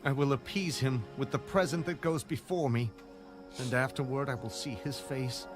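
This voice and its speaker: A man's voice: mid-range voice, moderate pace, lightly acted. A man playing an anxious, pleading biblical patriarch in a dramatized audio Bible, with formal archaic phrasing. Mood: anxious, hopeful. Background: background music.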